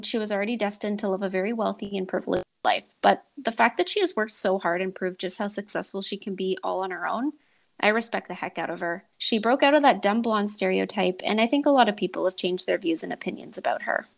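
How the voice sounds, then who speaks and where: A woman's voice: mid-range voice, quick pace, creaky. A woman podcast host, talking and reading a story aloud in a dramatic way.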